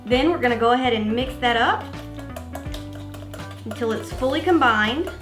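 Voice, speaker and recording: A woman's voice: a little high, moderate pace, echoey room, music in the background. A young woman with a Mississippi Southern accent hosting a casual cooking tutorial, explaining each step in a chatty, friendly way. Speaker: cooking vlogger host.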